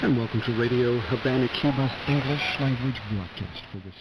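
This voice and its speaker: A man's voice: mid-range voice, normal speed, heavy background noise. A man giving a scripted station identification as a radio announcer on a shortwave broadcast. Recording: shortwave radio broadcast under loud music. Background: loud music.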